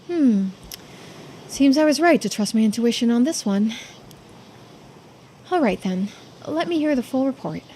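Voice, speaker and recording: A woman voicing a composed, dryly commanding senior investigator in a mystery game, with a crisp questioning manner. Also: on the high side, lively intonation, a little slow, long pauses, warm tone, a little hiss or hum, voice pushed up. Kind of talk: voiced visual-novel dialogue.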